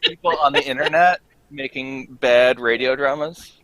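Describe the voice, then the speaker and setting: A man's voice: medium pitch, moderate pace, a crowd in the background, rough recording. A man bantering casually with a group on a video call.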